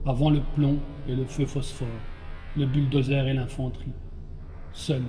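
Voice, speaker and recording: A man reads spoken-word poetry in a solemn, measured way, in short clipped phrases. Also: medium pitch. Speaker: poet. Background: music.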